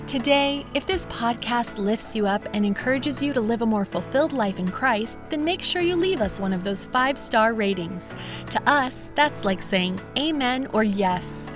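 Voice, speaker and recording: A woman's voice: a little high, animated, moderate pace. A woman reading a scripted podcast introduction in a polished, inviting way. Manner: polished, inviting promo delivery. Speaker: podcast announcer. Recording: announcer voice over clear music bed. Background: music.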